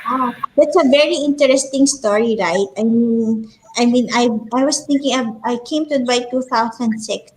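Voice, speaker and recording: Friendly woman host with a fairly high voice. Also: normal speed, hardly any pauses, a little mellow, low-quality recording. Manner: friendly, repeats "you know" and "right?". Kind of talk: casual interview.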